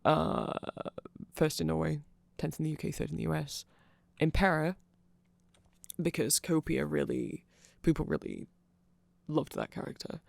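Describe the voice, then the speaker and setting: Gravelly, nerdy man rambling through an explainer. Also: a little high, steady tone, measured pace, dry recording. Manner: rambling, nerdy, hesitant with self-interruptions. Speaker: ASMR creator explaining band lore. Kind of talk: rambling informal ASMR explainer.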